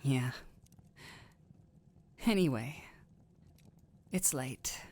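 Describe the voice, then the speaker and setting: A man acting a gentle, weary, protective village doctor in an intimate fantasy roleplay, soft-spoken and hesitant, often breathy. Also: medium pitch, animated, extremely slow. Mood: gentle, flustered. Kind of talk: intimate roleplay audio drama.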